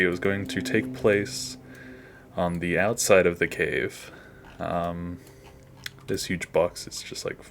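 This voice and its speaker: A man's voice: sits low, gravelly, a little hiss or hum. A man hosting a casual behind-the-scenes animation commentary in an informal way.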